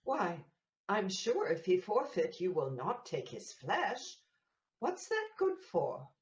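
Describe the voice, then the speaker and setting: Woman as slow-spoken gossip in an echoey room. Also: medium pitch, sing-song. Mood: needling. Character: gossiping, needling Venetian townsperson. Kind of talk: Shakespearean audio play acting. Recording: muffled, echoey room recording.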